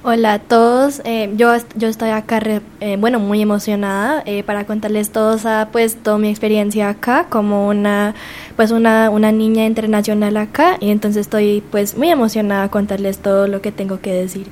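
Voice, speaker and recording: A woman's voice: mid-range voice, little pitch movement, normal speed, a little mellow, a little hiss or hum. A teenage girl born in the United States and raised in Colombia, speaking hesitantly with many fillers as a student radio guest. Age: teens.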